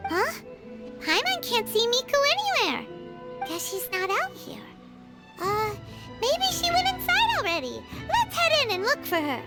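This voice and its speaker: A woman voicing an excitable, whiny little fairy companion in a video game, squeaky and exclaiming. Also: measured pace, loud music behind the voice, full character voice. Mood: puzzled. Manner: high-pitched, squeaky, exclaiming. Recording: game score playing loudly behind.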